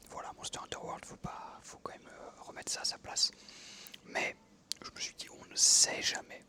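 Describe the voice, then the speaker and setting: A young man from France in his twenties, telling relaxed stories in a soft, unvoiced ASMR whisper while he plays a game, with many pauses and fillers. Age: 20s. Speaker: ASMR creator. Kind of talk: whispered ASMR storytelling over gameplay.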